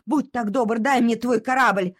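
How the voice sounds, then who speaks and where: A woman's voice: fairly high pitch, a little slow, no echo, studio quality. A woman voicing a kind, polite doctor. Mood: earnest. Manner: polite, earnest.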